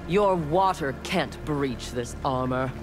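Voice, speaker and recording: A woman's voice: deep voice, lively intonation, takes it slowly, music in the background. An actress voicing a cold, haughty armored female ninja cyborg in video game banter. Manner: haughty, menacing.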